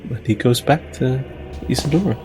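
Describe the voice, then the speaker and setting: A man's voice: medium pitch, moderate pace. Male tabletop player narrating over music. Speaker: tabletop role-playing game player. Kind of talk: describing a character's actions in third person.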